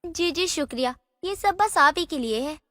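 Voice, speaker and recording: Lady playing a coy devoted young bride, super high bright voice. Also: lively intonation, moderate pace, dry recording, clean studio sound, in a large hall, soft background music. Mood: coy affection.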